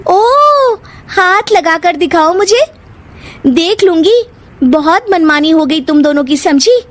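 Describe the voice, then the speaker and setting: A woman's voice: very high voice, expressive, slow pace, faint music behind, pitched above the performer's own voice, full character voice. A woman voicing a bossy, scolding elder daughter-in-law in a cartoon story.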